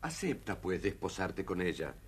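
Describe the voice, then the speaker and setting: A servile, evasive vizier in a children's audio tale. The deep, husky voice swoops widely as it hesitates and fawns. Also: male voice, very animated, normal speed, heavily acted. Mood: nervous evasive. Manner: hesitant, fawning. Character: servile, evasive male vizier, timid and deferential. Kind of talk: dramatized children's story record acting.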